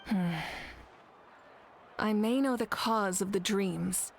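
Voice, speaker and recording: A woman playing a calm, dignified and authoritative female leader in an anime game. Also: mid-range voice, takes it slowly, creaky, slight background noise. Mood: measured, serious. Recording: faint background ambience.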